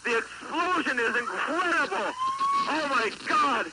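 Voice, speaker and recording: A man reporting live from the scene of a disaster, shouting and exclaiming in shock. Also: very high-pitched, expressive, measured pace, vocal fry. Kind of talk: live breaking news report. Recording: loud street noise, archival radio.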